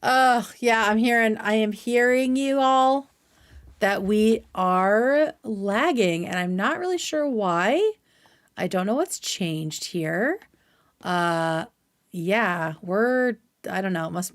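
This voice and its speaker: A woman's voice: mid-range voice, no room sound. Casual female livestreamer, close dry sound. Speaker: livestream host. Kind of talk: casual chat to livestream viewers. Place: indoors at home.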